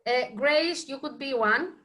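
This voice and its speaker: A woman's voice: high-pitched, unhurried, a bit bright. A woman leading a poetry reading and group discussion. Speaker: session leader reading a poem.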